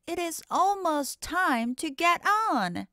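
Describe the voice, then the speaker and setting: A woman voicing an excitable, competitive young fox in a children's storybook, with animated, exclamatory delivery. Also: sits high, slow, dry recording, clean studio sound, pitched above the performer's own voice, exaggerated voice. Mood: excited.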